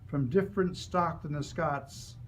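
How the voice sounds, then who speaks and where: A man from the United States, an author reading his memoir and reflecting on it in a deliberate, dramatic storytelling manner. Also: on the high side, slight background noise.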